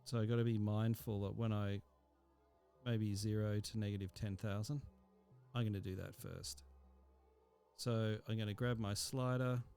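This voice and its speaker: A man giving an informal, hands-on software tutorial, thinking aloud as he works through each step. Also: lowish voice, steady tone, moderate pace, gravelly, pauses often, clean tone, faint music behind. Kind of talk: casual hands-on screen tutorial.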